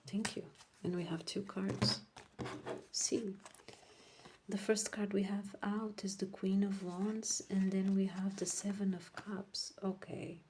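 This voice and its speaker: A woman whose first language is Portuguese, giving a casual tarot reading to viewers, rambling and correcting herself, often dropping into soft whispers. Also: mid-range voice, flat delivery, slow pace, background noise. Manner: drifts into soft whispers while laying cards. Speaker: tarot reader.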